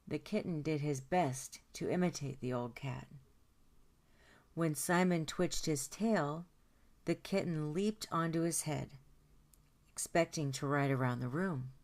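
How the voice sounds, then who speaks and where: Low voiced lady doing storytime, reading a kids book out loud, stretches out the important words. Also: unhurried. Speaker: storytime host reading aloud. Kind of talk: expressive children's picture book narration.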